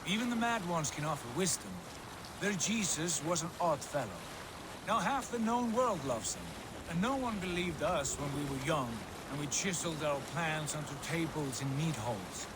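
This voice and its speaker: A man's voice: a little high, lively intonation, moderate pace, background noise, natural voice. A man playing a proud, visionary Viking warrior in a video game, speaking in solemn, grandiose, archaic phrases. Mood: proud, musing. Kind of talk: dramatic video game cutscene acting. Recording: music behind the voice.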